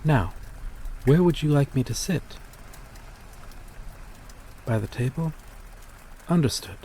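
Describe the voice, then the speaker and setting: A man's voice: fairly high pitch, animated, slow pace, warm tone. Man playing a courteous vampire, noisy ambience. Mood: courteous, grateful. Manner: formal, polite, hesitant, apologetic. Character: courteous, gentle male vampire stranger, kindly and old-fashioned. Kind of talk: intimate ASMR roleplay acting.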